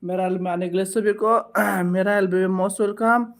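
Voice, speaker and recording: Man, high pitch, monotone delivery, casual live stream host, repetitive thanks. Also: a little slow. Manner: switches between Bodo, Hindi and English phrases; repetitive thanks and requests for support.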